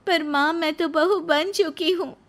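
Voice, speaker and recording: Woman voicing a high-pitched pleading young widow. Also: fairly even intonation, unhurried, fairly bright tone. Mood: upset, protesting. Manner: pleading, earnest. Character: tearful, devoted young widowed daughter-in-law. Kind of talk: animated moral-story acting.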